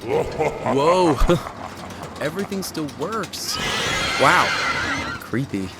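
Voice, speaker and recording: A man's voice: on the high side, big pitch swings, extremely slow, heavy background noise, full character voice. A man acting as a cocky, daring young urban explorer in a horror audio drama, with a breezy, cajoling, self-assured way of talking. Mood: awed.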